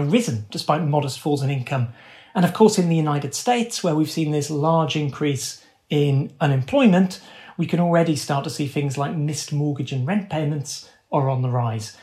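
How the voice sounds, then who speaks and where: A man's voice: on the high side, darkish tone. A man giving conversational economic analysis as an interview guest, explaining in informal, nuanced phrasing.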